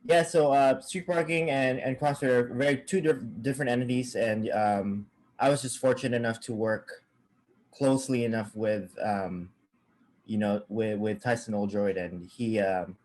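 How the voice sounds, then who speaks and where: A young man from Saipan, a cameraman, talking casually as a guest on a video call, halting with many fillers and restarts. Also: medium pitch, fairly even intonation, a little mellow, rough recording.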